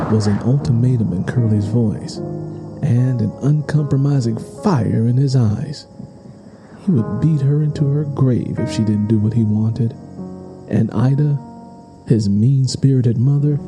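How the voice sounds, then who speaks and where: A man's voice: mid-range voice, measured pace, poor audio. A man reading scripted, dramatic narration for an audio drama. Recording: music behind the voice. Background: music.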